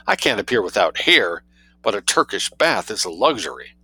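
A man's voice: lowish voice, very animated, moderate pace, bright, forceful tone, husky, no echo, heavily acted. A man voicing a fretful, wealthy society hostess who wails and complains tragically. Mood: despairing. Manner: plaintive, tragic wailing.

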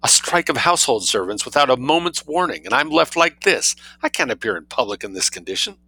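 A man voicing a shrill, indignant upper-class wife who speaks with dramatic intensity. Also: sing-song, brisk, bright, forceful tone, full character voice, rough recording.

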